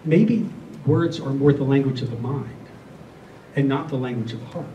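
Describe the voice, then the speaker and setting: A middle-aged or older man giving a reflective spiritual talk to a group, speaking earnestly and deliberately, often repeating phrases. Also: medium pitch, dark tone, muffled recording. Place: room with a listening group. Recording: roomy, distant mic, faint noise.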